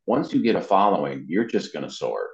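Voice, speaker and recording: A man's voice: deep voice, very animated, brisk, vocal fry. A man giving informal, explanatory advice as an interview guest, checking in with "you know" as he goes. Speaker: interview guest, marketing and web consultant. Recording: muffled lo-fi sound, roomy echo.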